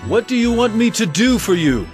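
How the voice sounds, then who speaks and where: A man playing an authoritative teacher in a Bible audio drama, speaking in a measured, solemn, instructive way. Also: high voice, sing-song, darkish tone, soft background music. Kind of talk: audio drama scripture storytelling.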